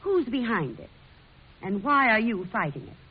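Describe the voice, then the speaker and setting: An actress playing a feisty, blunt newspaper woman in an old-time radio drama, with brisk, folksy, plain-spoken delivery. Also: on the high side, big pitch swings, husky. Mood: determined. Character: feisty, blunt 19th-century woman printer and newspaper publisher. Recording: muffled, hissy old radio recording.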